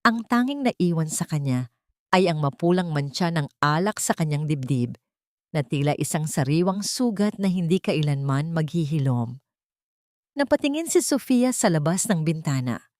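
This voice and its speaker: A woman's voice: deep voice, big pitch swings, normal speed, no room sound, clean studio sound. A woman reading scripted, dramatic narration as the storyteller of an audio drama.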